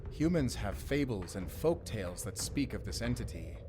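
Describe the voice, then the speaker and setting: A man's voice: on the low side, sing-song, normal speed. A man playing an earnest, questioning human who hears ancient lore in a fantasy audio drama. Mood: curious, incredulous. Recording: prominent dramatic music with drums behind.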